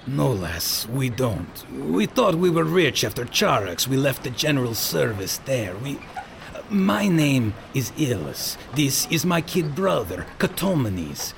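An actor playing a blunt, mocking ex-soldier and older brother in an audio drama, with a theatrical delivery. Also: male voice, medium pitch, sing-song, a little slow, gravelly. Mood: frank, resolute. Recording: faint background noise.

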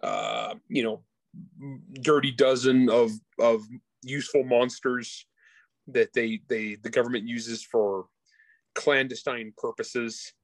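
A man's voice: medium pitch, animated, dry recording. Man chatting casually, very dry close sound. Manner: informal, slangy, halting with restarts and self-interruptions. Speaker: guest author discussing own book. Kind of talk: casual chat, interview.

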